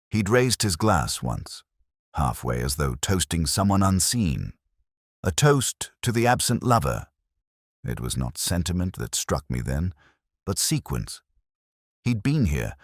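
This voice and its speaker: Man, extremely low voice, expressive pitch, measured clipped detective narration. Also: no echo, clean studio sound. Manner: measured and deliberate, short clipped phrases. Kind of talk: scripted first-person detective narration.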